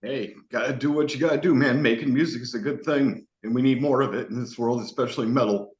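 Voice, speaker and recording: A male host talks loosely and enthusiastically, swearing freely, in a middle-pitched voice. His audio sounds roomy and dull, like a lo-fi home setup. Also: fast, a little mellow. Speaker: podcast host. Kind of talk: casual interview chat.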